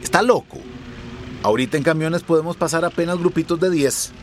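An actor playing a wary, streetwise people smuggler in a radio drama, slangy and blunt. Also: male voice, a little high, brisk, over music, lightly acted. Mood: wary, dealing.

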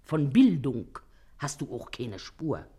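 A woman's voice: rumbling low, sing-song, takes it slowly, warm tone, slightly rough, exaggerated voice. Bossy scolding working-class mother, wildly swooping voice. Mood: boastful. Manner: nagging, blustery, colloquial. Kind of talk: German radio play acting.

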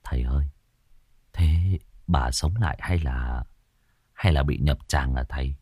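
A man voicing an uneasy villager asking a hushed question. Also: very deep voice, unhurried, slightly rough, dry recording, clean studio sound, pitched below the performer's own voice, exaggerated voice. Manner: hushed whisper. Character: uneasy villager whispering to a shaman.

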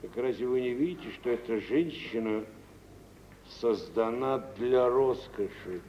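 A worldly, self-assured rich businessman acted by a man in an old radio drama. He weighs his words slowly and sententiously. The recording is muffled and hissy, with a long hall reverberation. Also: medium pitch, natural voice. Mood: pensive admiration. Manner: deliberate, sententious. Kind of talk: 1940s-50s radio theatre acting.

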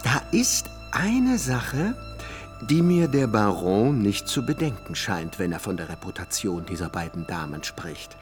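Deep, swooping, pompous scholar. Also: male voice. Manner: pompous, deliberate, drawn-out emphasis. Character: pedantic, erudite male academic, ponderous and courteous. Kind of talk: German literary radio drama acting.

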